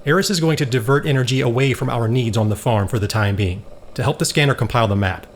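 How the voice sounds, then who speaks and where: An actor playing an earnest, commanding male scientist in an audio drama, speaking in a deliberate, explanatory way. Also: medium pitch, a little hiss or hum, lightly acted.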